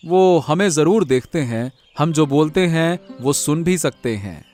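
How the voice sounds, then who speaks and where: A man voicing an earnest, sentimental village family man in an animated moral story. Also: on the high side, a little slow, faint music behind. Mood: proud, tender.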